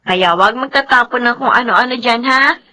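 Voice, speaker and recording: An actress playing a brisk, house-proud Filipino mother and elder sister in a radio drama. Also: a little high, pushed and bright. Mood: chiding.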